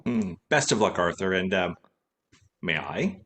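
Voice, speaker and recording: A man's voice: sits low, expressive, measured pace, gravelly, fairly bright tone, exaggerated voice. A man playing a cheerful, wisecracking former private detective in a radio play, dry and flippant. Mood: wry, flippant.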